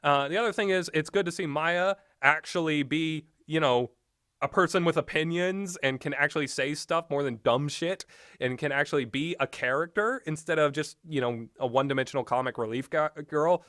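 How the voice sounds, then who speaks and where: A man's voice: fairly high pitch, normal speed, a bit bright, no echo, clean studio sound. A man giving casual, rambling and opinionated commentary on video games, often hesitating as he talks. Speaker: video game reviewer.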